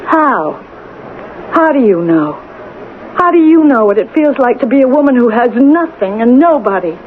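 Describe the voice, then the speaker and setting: An actress playing a lonely, wounded and defiant small-town woman, speaking with deliberate indignation in an old-time radio drama. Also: a little high, big pitch swings. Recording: dull, hissy vintage radio recording.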